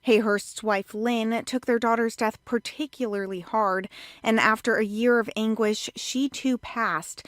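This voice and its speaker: A woman's voice: on the high side, fairly even intonation, a little slow, no room sound. An American woman working as a TV news reporter, delivering scripted news narration.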